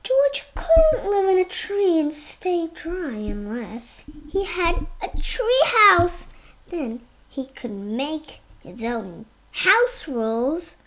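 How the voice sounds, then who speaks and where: A young child reads a storybook aloud at a very slow, careful pace. The voice is high and lively, with plenty of rise and fall in the expressive delivery. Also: poor audio. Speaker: reader.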